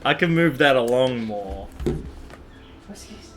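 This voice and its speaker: A man's voice: medium pitch, lively intonation, takes it slowly, a bit bright. A man chatting casually as he streams a game from a room at home. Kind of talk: casual live stream chat. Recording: roomy, echoey stream with faint music. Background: faint game music.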